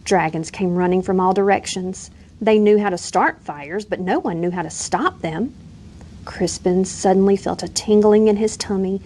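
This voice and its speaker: A woman reading a children's bedtime story aloud with expressive, gentle storytelling. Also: mid-range voice, normal speed. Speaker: reader.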